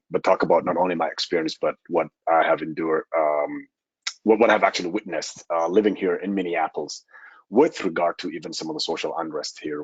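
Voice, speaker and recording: A very deep-voiced man with a husky, rough-edged tone, speaking slowly and carefully as he searches for his words. His voice comes through a dull, lo-fi video call with a dry, close sound. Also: dry recording. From Somalia. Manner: halting, measured, searching for words. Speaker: panelist. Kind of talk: personal account on a panel.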